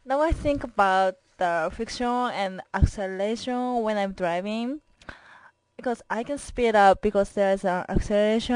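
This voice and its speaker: A woman's voice: medium pitch, a little mellow, no room sound, poor audio. A young Japanese woman, a college student whose first language is Japanese, speaks English with a Japanese accent as she introduces herself to her class. She talks carefully and earnestly, with simple phrasing and small grammar slips. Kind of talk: self-introduction recording for class.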